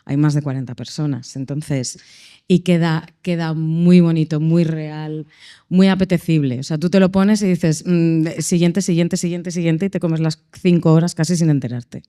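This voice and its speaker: Enthusiastic woman, smooth mellow voice. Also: low-pitched, fairly even intonation, normal speed. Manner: enthusiastic, repeating praise. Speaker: casting and production manager. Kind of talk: panel discussion.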